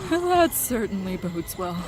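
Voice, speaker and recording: Girl acting an excitable survivor lady in a zombie radio play, slow-ish and dramatic, really animated. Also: medium pitch, very noisy. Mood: anxious, urgent. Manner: emotional, exclamatory. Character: excitable, desperate female survivor fighting zombies. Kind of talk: fan audio drama acting.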